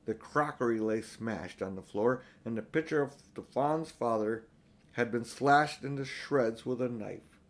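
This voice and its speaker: A man's voice: medium pitch, measured pace. An older man reading a children's storybook aloud as narrator. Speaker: audiobook reader.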